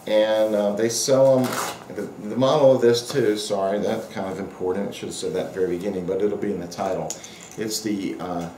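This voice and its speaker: Male hobbyist reviewer, lowish pitch, casual and unscripted, rambling delivery, roomy echo. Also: darkish tone. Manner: rambling, halting delivery with afterthoughts. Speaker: product reviewer and radio hobbyist. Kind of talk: casual unscripted product demonstration. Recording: echoey room with faint background noise.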